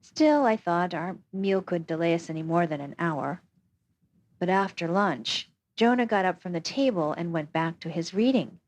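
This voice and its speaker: Audiobook reader: woman, lowish pitch, steady first-person storytelling. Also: normal speed. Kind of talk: scripted first-person narration.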